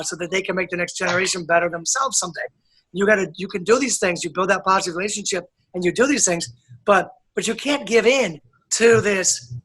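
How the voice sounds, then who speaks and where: A man speaking as an interview guest, calm and earnest, laying down his points firmly. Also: high-pitched, slightly rough, low-quality recording. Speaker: guest, author and educator. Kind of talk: casual interview chat.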